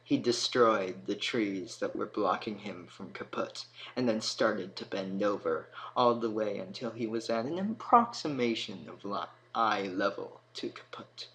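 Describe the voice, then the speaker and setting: A man narrates a storybook tale in a lowish voice at a very unhurried pace. The recording carries audible background noise. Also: lively intonation, a bit bright, poor audio.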